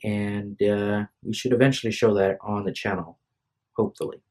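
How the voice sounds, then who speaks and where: A tired young man with a lowish voice rambles into a phone microphone at home. He talks in a hesitant, apologetic way and keeps breaking off and restarting his sentences. The phone mic gives it a close, casual vlog sound. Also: fairly even intonation, normal speed, darkish tone. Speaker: vlogger. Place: room at home.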